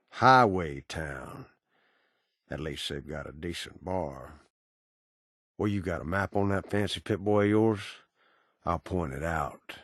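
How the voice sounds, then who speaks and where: Video game ghoul bounty hunter: low-pitched, folksy Southern drawl, cynical and menacing, very dry sound. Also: male voice, no echo. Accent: American Southern. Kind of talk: video game character dialogue.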